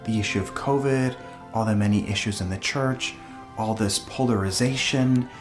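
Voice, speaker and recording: A man's voice: fairly low pitch, music in the background. Earnest man hosting devotional videos. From El Salvador. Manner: earnest, reverent, unhurried. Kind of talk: devotional storytelling and reading aloud.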